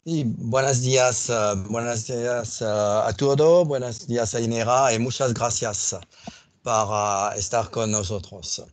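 Man, non-native Spanish accent, technical presenter, very slow, halting, dry close sound. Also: mid-range voice, steady tone, dry recording. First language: not Spanish. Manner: slow and halting, frequent fillers. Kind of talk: webinar presentation.

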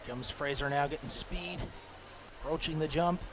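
A man giving short, excited remarks as a second commentator at a live ski event. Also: fairly high pitch, normal speed, noisy background. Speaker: colour commentator.

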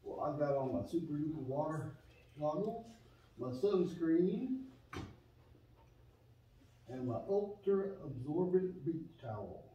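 A man's voice: a little high, expressive, slow pace, pauses often. A man voicing a naive, eager young surfer-dude pig with a drawl in a children's church puppet show. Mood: goofy, eager. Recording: distant, echoey, dull room recording.

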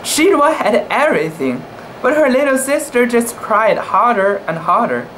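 A young man giving a dramatic children's book reading, expressive and cooing, with asides about the pictures. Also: extremely high pitch, rough recording. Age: young adult. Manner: expressive, cooing, drawn-out words. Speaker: storybook reader. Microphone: camera at close range. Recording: steady background hum, outdoor noise.

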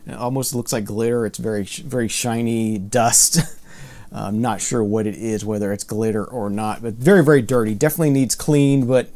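A man in his thirties talks through a casual record review, his voice mellow and warm at a mid pitch. He rambles with fillers and false starts, now and then mildly exasperated. He sits at desk distance from the camera in a room full of record shelves. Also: fairly even intonation, moderate pace. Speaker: vinyl collector vlogger.

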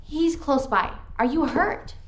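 An actress playing a determined, urgent rescuer in an audio drama. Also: female voice, high voice, expressive, slow pace. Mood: hushed concern. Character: determined young female rescuer, hushed and urgent.

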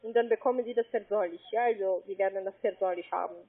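A woman from Spain with a Spanish accent, working as a call-centre agent and speaking broken German, who spells out letters slowly and patiently. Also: medium pitch, husky. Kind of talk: phone sales call. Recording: tinny phone line.

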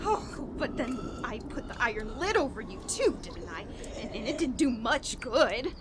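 An actress playing a desperate, guilt-ridden woman in a horror radio play, halting and repeating herself as she pleads through tears. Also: fairly high pitch, very animated, very noisy. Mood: shocked, fearful. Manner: halting, repetitive, sobbing, pleading. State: crying, panicked.

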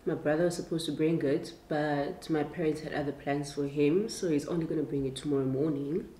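A woman's voice: low voice, steady tone, a little fast, dark tone. A young South African woman chatting casually to the camera as a lifestyle vlogger at home. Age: young adult. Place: indoors at home, kitchen and bedroom. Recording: roomy echo, clear background noise.

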